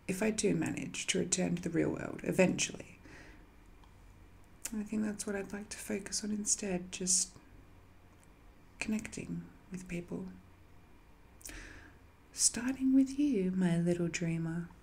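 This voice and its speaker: A woman playing an ancient banished evil spirit in an intimate audio drama, seductive, lonely and sly, with a coy, breathy delivery that often slips toward a whisper. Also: lowish voice, a little slow, pauses often, a little mellow. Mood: yearning, intimate.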